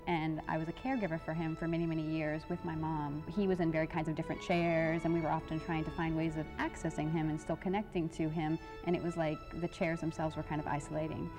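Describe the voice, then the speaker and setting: A woman who leads a dance project, speaking in a thoughtful, measured way with a few fillers in a documentary interview. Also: low-pitched. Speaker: dance researcher.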